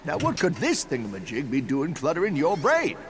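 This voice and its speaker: An actor playing an eccentric, cheerful old plumber in a video game, rambling along in folksy colloquial talk. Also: male voice, a little high, wildly swooping pitch, a little fast, very noisy. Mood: cheerful, puzzled. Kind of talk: video game cutscene acting.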